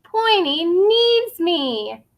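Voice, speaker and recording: A woman's voice: very high-pitched, very animated, very slow, pitched above the performer's own voice, full character voice. A woman voicing a tiny young T. rex from a children's storybook, childlike, earnest and determined, in short careful sentences. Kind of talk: children's storybook read-aloud.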